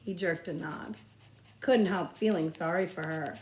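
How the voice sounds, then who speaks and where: A woman reading a children's novel aloud as a librarian, with expressive, measured storytelling phrasing. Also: on the low side, roomy sound, a little hiss or hum.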